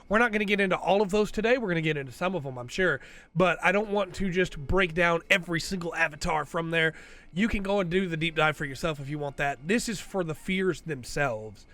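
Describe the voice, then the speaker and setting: A man with a cold talks through a casual commentary video. His voice sits a little higher than most men's, and he rambles on, tagging his points with "right?" as he goes. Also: fairly quick, sharp tone. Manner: rambling, tags lines with "right?". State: has a cold. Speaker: video host.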